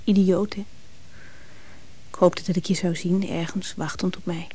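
A woman's voice: on the low side, little pitch movement, unhurried, mellow, slight background noise, lightly acted. An actress playing an anxious, longing woman who speaks hesitantly and pleadingly in a radio drama. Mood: hurt, wistful. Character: anxious, longing woman clinging to her absent lover. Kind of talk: Dutch radio drama acting.

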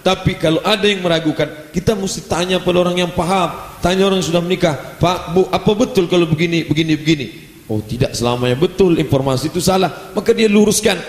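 Animated preacher in an echoing hall. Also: male voice, high-pitched, fast talker. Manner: lively, animated delivery with playful asides. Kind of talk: religious lecture. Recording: roomy hall echo, faint ambience.

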